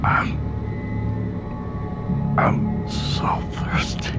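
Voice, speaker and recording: An actor playing a tormented, parched man who narrates a nightmare in an audio drama, grave and brooding. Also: drawn-out words. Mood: weak, bewildered. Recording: loud music under the voice.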